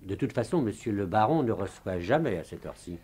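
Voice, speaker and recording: Lowish-voiced deferential manservant. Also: male voice, sing-song, brisk, darkish tone, breathy edge. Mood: wary, deferential. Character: deferential, guarded male household servant. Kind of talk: French radio mystery drama acting in 1950s manner.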